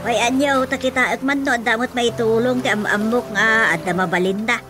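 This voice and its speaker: A woman's voice: a little high, steady tone, brisk, natural voice. A woman playing a practical village woman, explaining things in radio-drama style with an Ilocano accent. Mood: matter-of-fact. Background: loud music.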